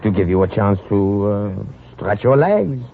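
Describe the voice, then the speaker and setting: Suave deep-voiced poet spy, old radio. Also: male voice, sing-song, measured pace, exaggerated voice. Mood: gallant, teasing. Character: suave smiling poet spy, man, gallant and sly. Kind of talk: 1950s radio drama acting, narration and dialogue. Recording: scratchy, muffled 1950 radio recording.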